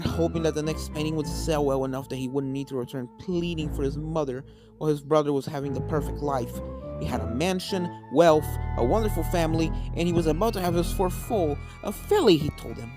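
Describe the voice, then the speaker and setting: A man's voice: a little high, animated, fairly quick. A man reading a scripted fan fiction story aloud as its narrator. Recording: game music under the voice. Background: video game soundtrack music.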